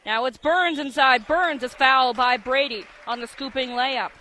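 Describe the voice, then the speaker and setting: A man with an extremely high, bright voice does live basketball radio play-by-play. He calls the action in clipped, descriptive phrases. Faint gym noise and bouncing balls sit behind him. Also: normal speed, rough recording. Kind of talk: live sports radio commentary. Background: basketball game ambience, bouncing ball.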